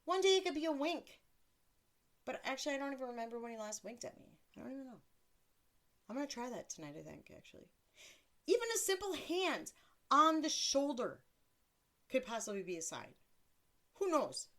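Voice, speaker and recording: Woman, thirties, deeper voice; blunt, crude solo podcast host who mocks herself, sits a little high in pitch. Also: big pitch swings, a little fast, long pauses, a bit bright. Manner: blunt, crude, self-mocking, laughs often. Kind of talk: casual solo podcast monologue.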